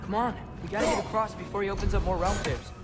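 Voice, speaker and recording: A young actor playing a restless, earnest teenage son in a video game, casual and a little hesitant. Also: male voice, high-pitched, fast talker, over loud music, close to an everyday voice. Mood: eager. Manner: casual, youthful, hesitant phrasing. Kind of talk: cinematic video game acting.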